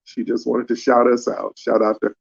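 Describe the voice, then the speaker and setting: A man runs a live call-in panel, steering callers in a brisk, commanding way. His voice sits at an ordinary middle pitch. The sound is dull and lo-fi, like a streamed call. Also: level tone, vocal fry. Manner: brisk, calls people up and scolds them to slow down. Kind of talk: casual moderating.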